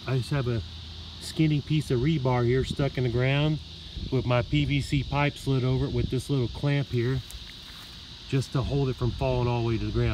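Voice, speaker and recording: A man casually showing his radio setup, talking with lots of "uh" pauses as he walks around his garage and yard. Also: medium pitch. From Florida. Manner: relaxed, filler-heavy, explaining as he goes. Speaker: radio hobbyist demonstrating his gear. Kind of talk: casual show-and-tell. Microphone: handheld phone camera. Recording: noisy garage recording with radio hiss.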